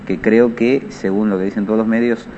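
A man's voice: lowish voice, steady tone, fairly quick, mellow, slight background noise. An Argentine man giving a spontaneous statement as an official, hesitant and repeating words, in lo-fi audio over music. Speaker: municipal inspections director. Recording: dull lo-fi audio, faint music. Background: faint music.

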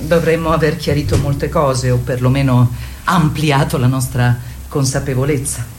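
A woman's voice: a bass voice, steady tone, normal speed, darkish tone, a little hiss or hum. A woman playing a commanding, encouraging feminist workshop leader in a radio play, exhorting her group with theatrical, rhetorical fervour. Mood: solemn, fervent. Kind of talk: radio theatre acting, declamatory.

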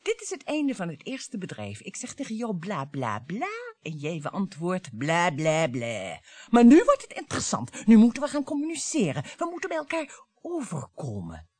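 A bitter middle-aged wife in a radio drama. She is mocking and theatrical, taunting her husband with a lively, swooping delivery. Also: on the low side, normal speed, no echo. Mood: sardonic. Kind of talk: radio play acting.

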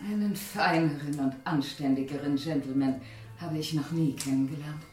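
A woman's voice: sits low, moderate pace, darkish tone, roomy sound, faint music behind, lightly acted. An actress playing an anxious middle-aged landlady and mother in a German radio play, curt and commanding. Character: anxious, strict landlady, wife and mother in old London.

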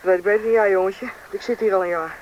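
A woman's voice: medium pitch, fairly even intonation, racing, highs cut off, lightly acted. A woman playing a stubborn, brave young boy in a radio drama, terse and determined. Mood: determined, restless. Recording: faintly noisy radio play recording.

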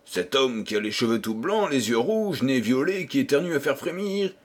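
A man's voice: mid-range voice, very animated, normal speed, breathy edge. A man voicing a flustered, vain and gullible old king in a storybook tale, with exclamatory, stammering speech. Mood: bewildered, dismayed.

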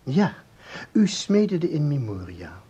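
An actor playing a calm researcher in a Dutch radio play, explaining formally and deliberately with small hesitations. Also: male voice, on the high side, breathy edge, highs cut off, full character voice. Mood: patient, explaining. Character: calm, explaining male researcher of time anomalies.

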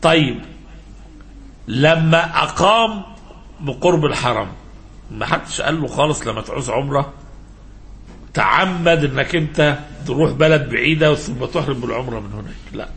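An Egyptian-accented man giving an informal religious lesson as a sheikh, in a halting, didactic manner that prompts listeners with questions, mixing classical and colloquial speech. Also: mid-range voice, expressive, fairly bright tone.

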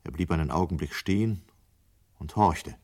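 A man narrating a radio play as a sober storyteller, measured and matter-of-fact. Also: deep voice, darkish tone. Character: sober male storyteller of a dystopian tale.

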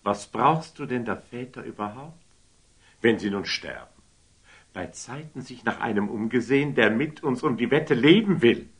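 Husky, animated, coaxing sultan. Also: male voice, medium pitch, normal speed. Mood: coaxing, playful. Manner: theatrical, coaxing, swinging from murmurs to exclamations. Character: warm, impulsive, generous sultan, playful elder brother. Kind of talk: classic German stage-verse radio drama acting.

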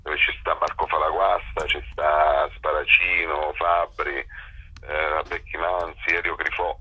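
A man speaking as a festival director in a spontaneous radio interview, with a rough, forceful voice. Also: very deep voice, little pitch movement, takes it slowly, highs cut off.

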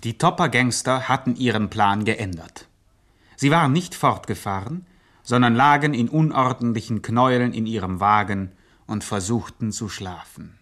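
A man's voice: medium pitch, moderate pace, fairly bright tone. Radio drama narrator: man, mid-range voice, scripted storytelling delivery.

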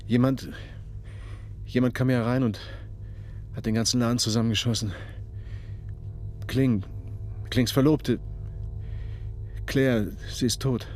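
Male actor, distraught police detective, radio crime drama, stumbling repetitions, emotionally raw, medium pitch, faint music. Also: steady tone, darkish tone, close to an everyday voice. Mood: shocked. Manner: halting, repeating himself, emotionally overwhelmed.

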